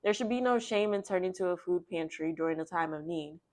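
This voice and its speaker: Expressive woman reading aloud for children. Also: lowish voice, brisk. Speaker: children's read-aloud host, librarian. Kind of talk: children's storybook read-aloud with character voices and asides.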